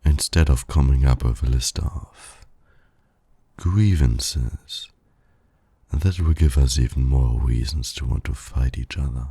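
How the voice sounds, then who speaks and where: A man playing a suave, flirtatious villain in an ASMR romance roleplay, teasing in silky, formal, condescending words with a gravelly, breathy voice. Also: very deep voice, slow pace, dark tone, no echo, full character voice. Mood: teasing, smug. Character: suave male villain flirting with heroic nemesis.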